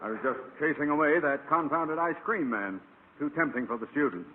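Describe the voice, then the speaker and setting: A man's voice: a little high, a little fast, very noisy, lightly acted. A pompous middle-aged male principal played by an actor blusters scornfully in an old radio comedy. The sound is tinny, with long reverb. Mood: blustery. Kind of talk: 1940s-50s radio sitcom acting.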